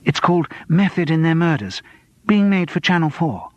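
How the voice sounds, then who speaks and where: Man voicing a flustered, high-pitched anxious woman. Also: very animated, normal speed, dry recording, muffled recording. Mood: hushed, harried. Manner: urgent, breathless hissing and gasping. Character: flustered, anxious woman TV production staffer, hurried and desperate. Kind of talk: audiobook character dialogue.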